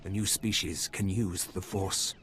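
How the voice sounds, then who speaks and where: A man's voice: low-pitched, fairly even intonation, unhurried, a bit bright. A man playing a worried, exasperated scientist in a video game, grave and pointed. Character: worried, exasperated male professor of xenozoology. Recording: noisy game ambience.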